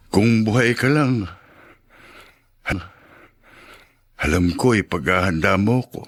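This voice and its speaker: A man's voice: low-pitched, a bit bright, heavily acted. An actor playing a patient, tender seventy-year-old widowed father in a Filipino radio drama. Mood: grieving.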